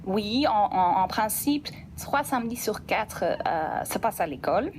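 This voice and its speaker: A woman's voice: medium pitch, animated, a little slow, slight background noise. Dutch-speaking woman with a slight accent. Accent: Dutch-speaking Belgian. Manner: enthusiastic, explanatory, frequent restarts. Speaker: guest, program representative. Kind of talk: radio interview. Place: radio studio.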